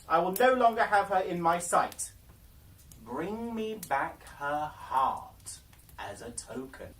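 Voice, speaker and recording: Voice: mid-range voice, sing-song, slow, fairly bright tone, recorded from a distance, pitched above the performer's own voice. A storyteller voicing a wicked, envious storybook queen, imperious and cruel in manner. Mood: furious command. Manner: imperious, commanding.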